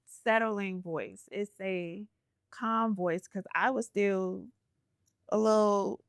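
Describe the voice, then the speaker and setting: A woman's voice: medium pitch, slow pace, smooth, dry recording, clean studio sound. A woman podcast host talking in an earnest, reflective way as she shares a spiritual story in a casual interview.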